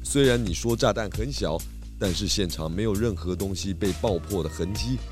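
A shrewd detective pig, voiced by an actor in a kids' radio mystery. The voice is very low, calm and deliberate, with light music behind it. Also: close to an everyday voice. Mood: confident. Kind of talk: children's detective audio drama.

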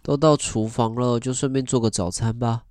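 An actor playing a pompous, callous restaurant tycoon in an audio drama monologue. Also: male voice, medium pitch, steady tone, moderate pace, darkish tone, slight background noise, close to an everyday voice. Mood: nonchalant, detached. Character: smug, callous gourmet restaurant tycoon, indifferent man. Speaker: actor and first-person narrator.

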